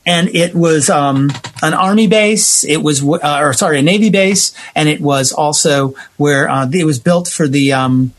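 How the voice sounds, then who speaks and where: A man with a husky voice talking conversationally as a theater maker being interviewed, emphatic and given to acting out what people said. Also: fairly high pitch, moderate pace, hardly any pauses, a little hiss or hum. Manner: emphatic, acts out quoted reactions. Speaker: theater playwright and director, interview guest. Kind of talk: animated conversational storytelling.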